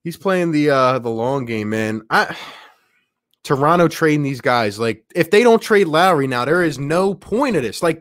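A man hosting a sports livestream in an excited, casual way, reading out viewer messages with plenty of slangy exclamations. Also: fairly high pitch, no room sound, clean studio sound. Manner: slangy, exclamatory, addresses listeners as man and bro. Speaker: livestream host.

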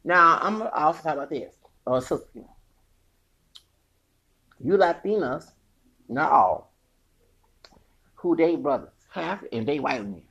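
Husky, gravelly man ranting with wild swings. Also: a little high, bright, forceful tone. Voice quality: feminine-sounding voice. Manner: profane, laughing, sing-song asides. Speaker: podcast host. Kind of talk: rambling solo rant.

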